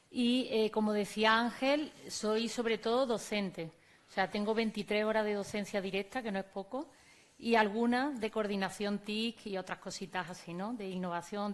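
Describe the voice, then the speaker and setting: A woman from Córdoba with an Andalusian accent, a teacher giving a conference talk and speaking to the audience in a conversational way. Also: mid-range voice. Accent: Andalusian Spanish. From Córdoba, Spain. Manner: conversational, addresses audience directly. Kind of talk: conference presentation. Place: conference venue on stage.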